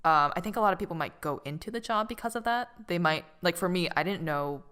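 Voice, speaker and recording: A woman's voice: fairly low pitch, expressive, quick pace, fairly bright tone, clean tone. A young woman from Boston, co-hosting a casual podcast chat in an easygoing way with frequent laughs. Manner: easygoing, laughs often, many "like" fillers. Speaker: podcast co-host.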